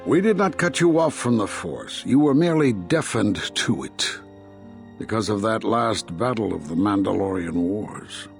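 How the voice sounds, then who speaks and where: An actor playing a stern, condemning older Jedi master in a video game, speaking gravely and deliberately with a husky voice. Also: male voice, on the low side, animated, soft background music. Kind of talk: dramatic video game dialogue.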